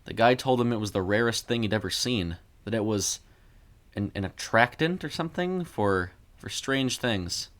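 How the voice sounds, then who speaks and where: A man voicing a grieving, wistful sister in an audio story, speaking in a hesitant, halting way. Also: lowish voice, lively intonation, a bit bright. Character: grieving young woman, wistful sister reminiscing.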